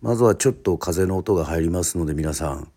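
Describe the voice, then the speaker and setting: A man from Yoron Island in Japan hosting and narrating, introducing a radio drama in a formal, deliberate announcer-like way with pauses. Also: low-pitched, mellow.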